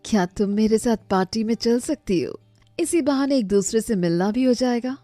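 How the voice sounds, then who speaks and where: A woman's voice: fairly high pitch, expressive, normal speed, mellow, no room sound, soft background music, natural voice. A woman voicing a rich swindler who poses as a best friend, coaxing and confiding, in a storybook tale. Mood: friendly.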